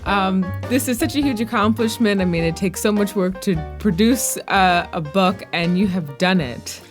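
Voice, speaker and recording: A woman's voice: mid-range voice, normal speed, music in the background. A woman hosting a podcast, moving between scripted narration and interview talk. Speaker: podcast host.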